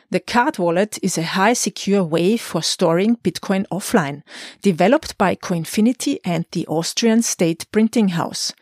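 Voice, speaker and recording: A woman hosts an interview podcast. Her voice sits at a medium pitch and is recorded very dry, with little room around it. She delivers introductions and questions in an orderly, prepared manner. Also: dry recording.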